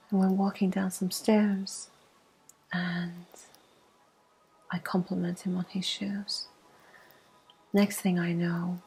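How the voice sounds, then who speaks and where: Breathy whispering woman, very slow ASMR storytelling. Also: on the low side, poor audio. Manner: slow, hushed, pausing. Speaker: ASMR video host. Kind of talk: soft-spoken ASMR personal storytelling.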